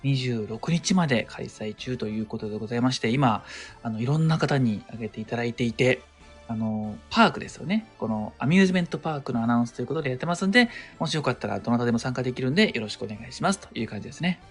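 A humble, courteous man guests on a casual live stream. His pitch moves around a lot and he speaks rapidly, over faint music. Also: lively intonation. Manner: polite, humble.

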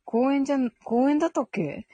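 A woman's voice: high-pitched, brisk, mellow, no room sound, dull audio. A woman hosting a casual live audio show, chatting with listeners in a giggly way and thinking aloud as she goes.

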